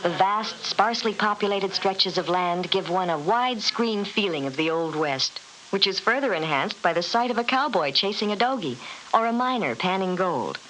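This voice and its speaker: A woman reading scripted travelogue narration in a polished, descriptive, measured manner. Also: medium pitch, lively intonation, fairly bright tone, poor audio. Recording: hissy old radio recording.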